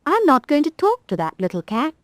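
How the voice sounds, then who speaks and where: A woman voicing a bold, cheerful, motherly rag doll in a high, bright voice. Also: huge pitch swings, a little fast, no room sound, clean studio sound. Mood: chatty.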